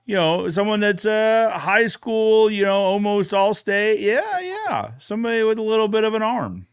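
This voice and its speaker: Higher-voiced man hosting a casual chat. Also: sing-song, normal speed, clear, resonant tone. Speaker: podcast host.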